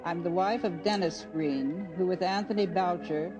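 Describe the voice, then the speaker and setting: An older woman reminiscing as a radio writer, telling anecdotes in an unhurried, chuckling way. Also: lowish voice, music in the background, rough recording. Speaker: radio writer recalling her work. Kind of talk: spoken reminiscence.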